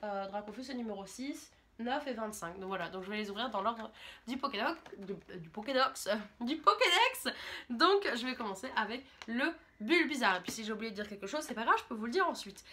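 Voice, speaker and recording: A woman's voice: on the high side, expressive, brisk, pushed and bright. A French woman in her twenties, a YouTuber talking in a chatty, rambling, enthusiastic way in a casual vlog monologue. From France.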